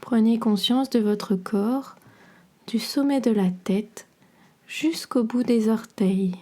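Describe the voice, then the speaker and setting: Slow, mellow woman guiding relaxation. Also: fairly high pitch. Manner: soothing, measured, instructional delivery. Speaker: podcast host and yoga nidra guide. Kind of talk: guided relaxation meditation.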